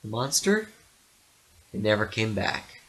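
A man narrating a literary story dramatically, with theatrical, deliberate phrasing. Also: fairly low pitch, big pitch swings, fairly bright tone, rough recording.

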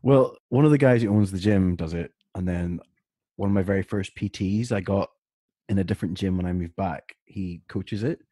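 A man's voice: low-pitched, moderate pace, warm tone, no room sound, clean studio sound. A tired man co-hosting a podcast, laid-back and joking in casual chat. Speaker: podcast co-host.

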